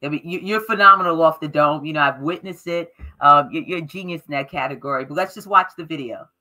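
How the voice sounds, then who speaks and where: A woman's voice: low voice, fast, pushed and bright. A woman hosting a live interview over a video call, speaking with enthusiasm and emphasis. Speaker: host. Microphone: webcam on a video call.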